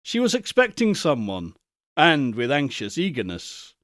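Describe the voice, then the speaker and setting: Slow, solemn man reading a ghost story. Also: on the high side, huge pitch swings, a bit bright, no room sound, clean studio sound. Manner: solemn, deliberate, theatrical phrasing. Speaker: audiobook narrator, reader. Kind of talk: dramatic scripted narration of a Victorian ghost story.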